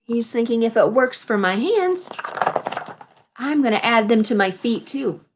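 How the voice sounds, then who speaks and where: A woman reading a children's picture book aloud in an expressive, instructive way, adding explanations for young listeners. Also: a little high, a little slow, noisy background. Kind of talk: read-aloud storytelling with explanatory asides to children.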